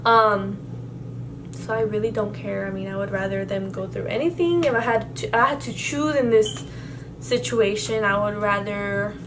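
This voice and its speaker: A woman's voice: medium pitch, steady tone, normal speed, background noise. A young woman whose first language is Spanish, answering questions in a casual vlog and rambling with many fillers and restarts. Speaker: vlogger. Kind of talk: casual Q&A tag video.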